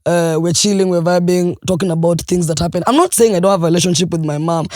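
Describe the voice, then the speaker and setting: A woman's voice: fairly low pitch, fairly even intonation, fast, a little mellow, dry recording. A woman co-hosting a podcast in a studio, talking bluntly and expressively and swearing freely. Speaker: podcast co-host. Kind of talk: casual podcast chat.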